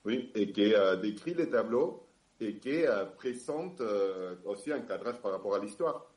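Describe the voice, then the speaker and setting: A man from Argentina with an Argentine Spanish accent, speaking on a panel in French and often pausing to search for words. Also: lowish voice, animated, a little mellow, reverberant. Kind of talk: public discussion.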